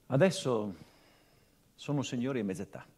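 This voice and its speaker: An actor playing a middle-aged son who remembers his dead father, delivering a theatrical monologue in measured, weighted phrases with restrained bitterness. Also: medium pitch, darkish tone, husky, lightly acted. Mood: reflective, resolute. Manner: measured, short weighted phrases with swelling emphasis. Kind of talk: theatrical monologue, reflective narration.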